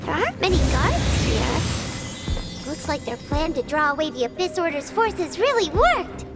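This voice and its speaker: Super high squeaky little sprite girl, chirpy, bounces all over, game music behind. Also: sing-song, normal speed. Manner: chirpy, talks of herself by name. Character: tiny excitable floating companion girl. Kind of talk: video game dialogue.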